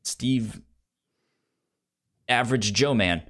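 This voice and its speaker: A man co-hosting a podcast in casual movie debate, halting and often stuttering on repeated syllables. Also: mid-range voice, a bit bright. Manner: halting, circling delivery. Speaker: podcast co-host.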